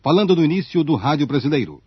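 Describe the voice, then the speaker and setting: A man's voice: on the high side, big pitch swings, fast, breathy edge, no room sound. A man giving formal, rhetorical scripted narration for a radio documentary. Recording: dull archival shortwave-era radio recording.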